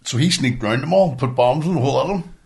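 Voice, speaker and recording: A man's voice: mid-range voice, animated, fast talker, vocal fry. Northern irish guy on a podcast, sweary rambling storyteller, blunt, normal mid voice. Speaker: podcast co-host. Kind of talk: casual storytelling banter, sweary.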